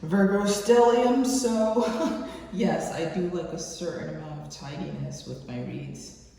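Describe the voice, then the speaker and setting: Woman doing a tarot love reading, kinda slow n mellow, super echoey room. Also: fairly low pitch, creaky. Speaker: tarot reader, channel host. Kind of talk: intuitive love reading, spoken off the cuff. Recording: very echoey room, faint background noise.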